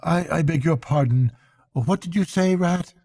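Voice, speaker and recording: A gentle little mole from a bedtime storybook, voiced by a man. He is earnest and slightly hesitant. The tone is mellow and relaxed, in a dry, close recording. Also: a little high, measured pace, husky, dry recording, pitched above the performer's own voice. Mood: puzzled.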